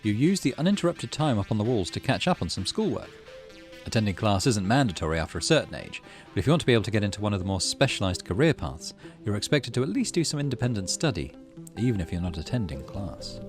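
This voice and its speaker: A man's voice: fairly low pitch, very animated, fast talker, faint music behind. A man hosting a gaming video, reading the game's text aloud and musing casually to himself as he plays. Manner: thinks aloud, murmurs to himself. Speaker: gaming channel host. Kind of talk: reading game narration aloud, casual musing while playing.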